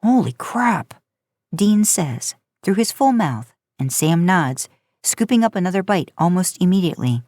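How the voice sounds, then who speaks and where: Low-pitched woman narrating a story, dry close recording. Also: animated, normal speed, mellow, no room sound. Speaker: podcast host narrating fan fiction. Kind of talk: scripted story narration, audiobook style.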